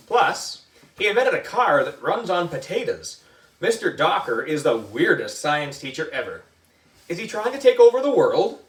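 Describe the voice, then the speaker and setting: A man's voice: on the high side, a bit bright. A man reading a children's story aloud in a theatrical way, with exaggerated emphasis. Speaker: book reader for a children's book club. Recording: echoey room, distant mic.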